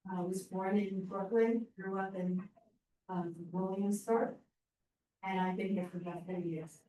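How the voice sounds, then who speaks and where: Flat-voiced woman, far off and echoey. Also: medium pitch, a little slow. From United States. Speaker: class participant. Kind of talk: casual self-introduction.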